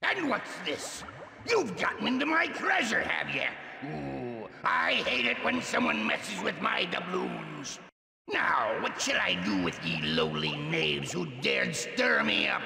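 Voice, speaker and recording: A man voicing a blustering, menacing ghost pirate captain in a cartoon game, with a gravelly voice, a stage pirate accent and theatrical threats. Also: a little high, huge pitch swings, slow pace, full character voice. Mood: furious, then mock official. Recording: echoey hall reverb, music underneath.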